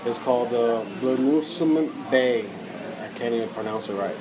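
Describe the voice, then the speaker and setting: A man from Puerto Rico with a Puerto Rican accent, telling stories in a relaxed, casual way on a podcast. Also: mid-range voice, moderate pace, background noise. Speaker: podcast co-host. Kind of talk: casual chat.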